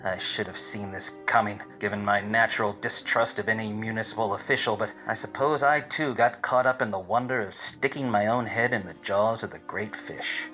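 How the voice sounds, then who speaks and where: An actor playing a wry, obtuse 1940s private detective in melodramatic, deadpan radio-play style. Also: male voice, lowish voice, moderate pace, few pauses, faint music behind, poor audio. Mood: brooding. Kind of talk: 1940s radio detective acting with hard-boiled narration.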